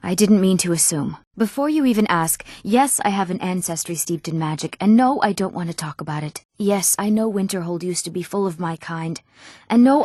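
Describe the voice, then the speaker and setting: A woman's voice: on the low side, darkish tone, no room sound, studio quality, lightly acted. A woman playing a studious, guarded young elf mage in a fantasy game, youthful and earnest. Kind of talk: scripted fantasy game dialogue.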